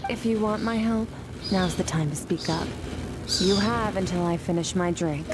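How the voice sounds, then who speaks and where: A woman voicing an aloof, mysterious master swordswoman in a video game, speaking in a cool, terse, deadpan manner. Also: on the low side, moderate pace, noisy background, lightly acted. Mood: detached, matter-of-fact.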